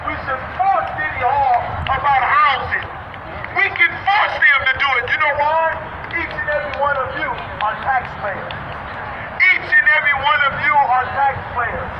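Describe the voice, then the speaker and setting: A man's voice: very high voice, normal speed, crowd noise. An American man giving an emphatic, repetitive, impromptu street rally speech as an activist, through a bullhorn. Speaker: activist speaking to a crowd. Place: outdoors on a city street with traffic. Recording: distant bullhorn-like voice, dull, echoey, noisy street.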